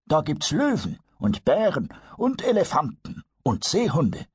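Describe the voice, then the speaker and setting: A man voicing a kindly old master carpenter in a storybook reading, patient and gently explaining. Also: a little high, huge pitch swings, measured pace, slightly rough, no room sound, studio quality, voice pushed up, full character voice. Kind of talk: storybook character voice.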